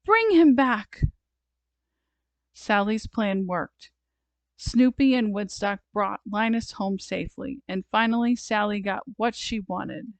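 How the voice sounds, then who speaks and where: A woman reads a children's story aloud in an expressive, deliberate way. She takes her time, speaking very slowly. The recording is dry and close, with no room sound. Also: medium pitch, gravelly, no echo. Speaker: storybook reader.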